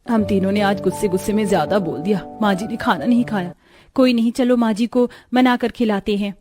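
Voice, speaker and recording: A woman's voice: medium pitch, fairly quick, over music, natural voice. A woman voicing a dutiful, respectful young daughter-in-law in a family story. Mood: concerned.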